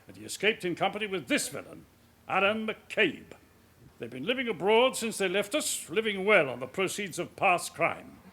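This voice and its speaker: Commanding police officer, high voice, animated swoops, clipped formal briefing style, radio drama. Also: male voice, full character voice. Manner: authoritative, clipped, deliberate. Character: commanding male senior police officer briefing his men.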